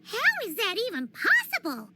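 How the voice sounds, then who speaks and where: Tiny childlike fairy companion, cartoonish video game, extremely high squeak, inquisitive, wildly animated. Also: female voice, normal speed, very noisy, heavily acted. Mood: curious, surprised. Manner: squeaky, inquisitive, refers to herself in third person.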